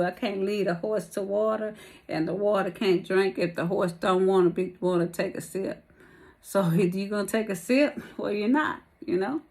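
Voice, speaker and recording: A woman's voice: on the low side, creaky. Chatty woman losing her voice. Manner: rambling, slangy, calls listeners baby and y'all. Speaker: tarot reader. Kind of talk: casual spiritual tarot reading.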